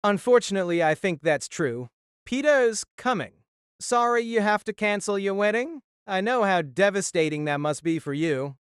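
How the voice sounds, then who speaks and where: Smooth, cocky young charmer played by a man. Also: sits high, expressive, moderate pace, no room sound, clean studio sound. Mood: mock sympathy. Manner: suggestive, teasing. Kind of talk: audio drama dialogue.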